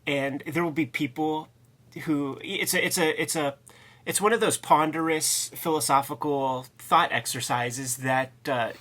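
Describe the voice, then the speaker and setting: A man's voice: fairly high pitch, measured pace, fairly bright tone. A man co-hosting a discussion show, giving colloquial, off-the-cuff commentary and often searching for his words. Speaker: co-host of a comic book discussion show. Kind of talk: casual chat and commentary.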